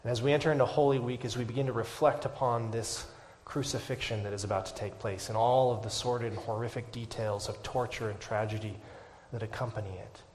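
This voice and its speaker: A man's voice: medium pitch, fairly even intonation, fairly quick, few pauses, slight background noise. Impassioned male pastor preaching a sermon. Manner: rising to loud impassioned preaching, dropping to hushed whispers. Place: church.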